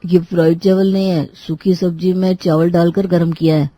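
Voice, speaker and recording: A woman's voice: lowish voice, level tone, normal speed, dark tone, no echo, close to an everyday voice. A woman voicing a picky, blunt young brother-in-law who complains, in a cartoon moral story. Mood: complaining.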